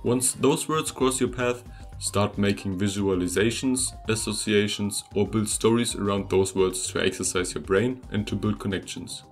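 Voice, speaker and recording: A man's voice: fairly low pitch, steady tone, normal speed. A young German man, a native German speaker, narrating a scripted video about learning languages in an upbeat, conversational way that speaks straight to the viewer. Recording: voice-over with faint music behind.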